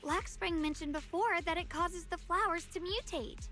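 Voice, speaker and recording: A woman's voice: very high voice, moderate pace, bright, forceful tone, soft background music. A woman voicing an earnest, caring young female adventurer in an anime-style game, eager in her delivery.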